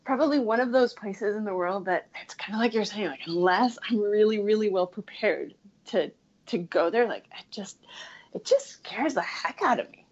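A woman in her thirties from Chile, a podcast guest chatting casually and animatedly, with frequent "like" fillers and quick laughs. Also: medium pitch, animated, husky, highs cut off. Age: 30s. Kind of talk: casual interview chat.

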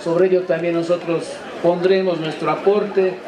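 A man's voice: sits high, level tone, moderate pace, far from the mic. A man in his forties with an Ecuadorian accent giving a public speech as a local politician. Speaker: mayor-elect. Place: outdoor canopy at sports ground. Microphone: handheld microphone. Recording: echoey noisy outdoor PA, crowd.

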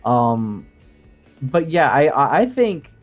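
A man gives a casual, detailed technical explanation as a podcast co-host. His voice sits at a middle pitch, and he talks informally with plenty of hedging. Music plays behind him. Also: very animated, slow pace, lo-fi sound. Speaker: podcast guest co-host explaining game sound design.

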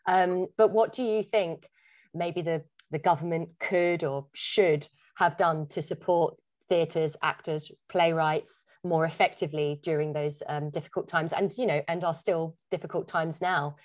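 A woman's voice: low-pitched, steady tone, unhurried. A woman hosting an interview, putting her questions in a conversational style. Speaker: interviewer, podcast host.

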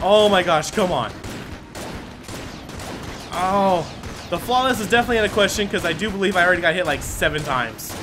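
A man giving let's-play commentary, thinking aloud and bursting into excited exclamations. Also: sits high. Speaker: gaming commentator. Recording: game music under the voice. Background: game music.

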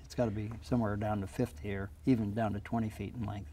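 A man's voice: lowish voice, little pitch movement, fast, warm tone, a little hiss or hum. An older man, a pilot, explaining flying practice in a plainspoken, practical way in a small TV studio interview on a lapel mic. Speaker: guest pilot.

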